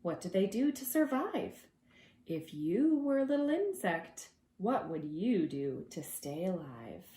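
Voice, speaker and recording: A woman reading aloud to preschoolers as their teacher, expressive and gentle, drawing out words and adding conversational asides. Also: on the low side, reverberant. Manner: gentle, deliberate, drawing out words for young listeners.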